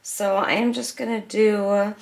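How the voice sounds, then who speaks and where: A woman hosting a home crafting tutorial, her voice raspy as she gets over a cold. She rambles casually, with frequent ums and self-corrections as she works. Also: mid-range voice, steady tone, unhurried. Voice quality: slightly raspy. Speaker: craft channel host. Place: home craft table in the evening.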